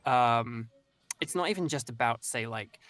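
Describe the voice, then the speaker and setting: A man's voice: mid-range voice, a little slow, a bit bright, faint music behind. A young man with an English accent, chatting as a voice-actor guest in a rambling, self-interrupting way. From England. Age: young adult. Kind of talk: casual interview chat.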